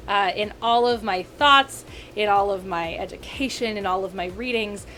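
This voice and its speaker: A woman in her thirties, a video critic ranting casually to camera, rambling and animated with frequent self-corrections and asides. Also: mid-range voice, normal speed, a little hiss or hum. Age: 30s.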